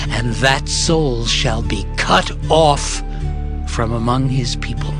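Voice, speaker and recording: A man reads scripture in a solemn, dramatized style. His voice sits fairly high and moves very slowly and deliberately, with music clearly underneath. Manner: measured, solemn. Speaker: scripture reader.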